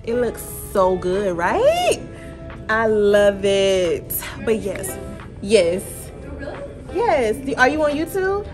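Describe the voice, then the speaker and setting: Woman, 30s, chatty vlogger, phone held at arm's length, a little high-voiced, music clearly audible under her. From Atlanta, United States. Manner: chatty, bubbly, addresses viewers as y'all. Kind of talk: casual vlog chat to camera. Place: office turned party venue, indoors.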